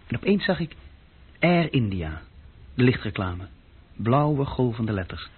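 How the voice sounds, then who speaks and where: Restless young clerk, thin phone-like sound. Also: male voice, medium pitch, big pitch swings, unhurried, breathy edge, heavily acted. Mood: reflective, restless. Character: young male office clerk, restless, self-reproaching, recounting his escapade. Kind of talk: radio play dialogue and recollection. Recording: thin, phone-like old radio recording.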